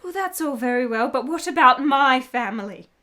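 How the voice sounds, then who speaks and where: A woman's voice: high voice, animated, normal speed, sharp tone. An actress playing a passionate, guilt-ridden married woman in a period radio drama, with theatrical emotion and formal phrasing. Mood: incredulous.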